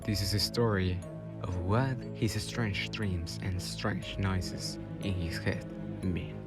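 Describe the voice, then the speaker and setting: A man's voice: sits low, steady tone, takes it slowly, music in the background. A man giving dramatic scripted narration for a radio drama.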